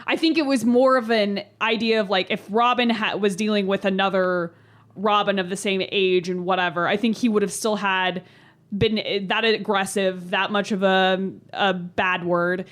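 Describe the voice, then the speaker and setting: A woman with a medium-pitched voice, speaking as a podcast guest. She chats casually and somewhat tentatively, working out her thoughts as she goes. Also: steady tone, normal speed, a bit bright. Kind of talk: casual chat.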